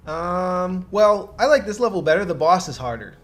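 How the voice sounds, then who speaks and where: A man's voice: high-pitched, animated, moderate pace. A man chatting casually and explaining things as he plays and comments on a video game. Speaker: gaming commentator, co-op player. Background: game music.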